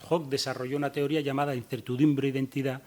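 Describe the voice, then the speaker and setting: A man's voice: medium pitch, level tone, a little mellow. A man giving a short talk on a conference panel, hurrying to finish and reading passages aloud. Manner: hurried, reads quotes aloud. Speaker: panel speaker.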